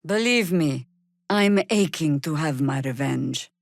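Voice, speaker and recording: A woman voicing a weary, sardonic veteran druid warrior in a fantasy video game, speaking in a dry, measured way. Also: deep voice, animated, gravelly, no echo, exaggerated voice. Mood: wry, measured.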